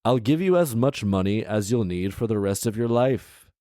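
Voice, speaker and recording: A man's voice: on the low side, expressive, normal speed, dark tone, no echo, studio quality, close to an everyday voice. A man voicing an impulsive, bargaining genie in a comic storybook reading, in a casual, exclamatory manner. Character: male genie, impulsive, pleading, bargaining, sheepishly apologetic.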